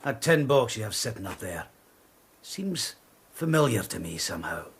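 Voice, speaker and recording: A man acting in a radio drama as a rough, sardonic whaling harpooner, gravelly and husky, with blunt sailor's talk. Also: fairly low pitch, sing-song, normal speed, full character voice. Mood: sly menace.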